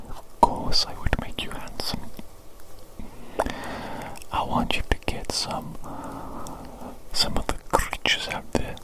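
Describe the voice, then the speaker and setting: A woman's voice: lightly acted, low-quality recording. Whispering doting evil doctor played by a woman. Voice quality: breathy unvoiced whisper. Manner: slow soothing repetition of short phrases. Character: doting evil doctor examining the creature they created. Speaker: ASMR performer. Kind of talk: ASMR medical roleplay.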